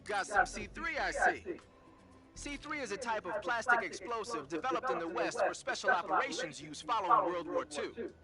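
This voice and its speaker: An actor playing a brisk, knowledgeable military support officer in a video game, explaining and instructing with emphasis. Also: male voice, sits high, lively intonation. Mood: instructive. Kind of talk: video game radio briefing. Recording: loud music under the voice.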